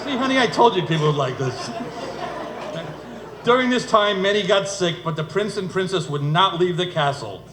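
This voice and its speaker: A man's voice: high voice, lively intonation, normal speed. A middle-aged man giving a humorous wedding speech in a storytelling way, with dry asides, as father of the bride. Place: indoor wedding reception venue. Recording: echoey room, noisy event ambience.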